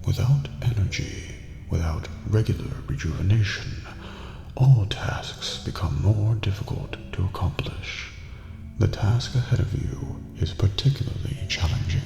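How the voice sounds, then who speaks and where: A man acts out an old, otherworldly prison master with courteous, ominous formality. His low, gravelly voice slips toward a whisper in this ASMR roleplay, with long hall reverb around it and music behind. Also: dark tone, full character voice. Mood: calm, instructive. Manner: formal, deliberate, ominous, often near whispering.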